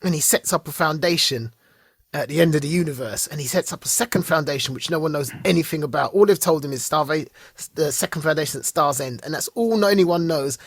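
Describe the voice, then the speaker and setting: A man's voice: a little high, quick pace, husky, dry recording, clean studio sound. A man co-hosting a podcast, chatting casually about TV shows, laughing often and trailing off mid-sentence. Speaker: podcast co-host. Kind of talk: casual chat reviewing TV shows.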